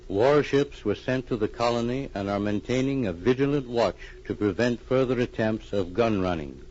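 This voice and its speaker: A male radio announcer with a medium-pitched voice reads in a formal, solemn vintage style. The recording sounds dull and old. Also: normal speed, a little mellow. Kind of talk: formal 1940s radio scripted narration.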